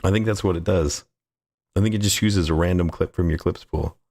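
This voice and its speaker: Male streamer, low voice, casual game commentary, effusive and goofy, often repeats phrases. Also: brisk, a little mellow. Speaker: livestreamer playing a game and greeting chat.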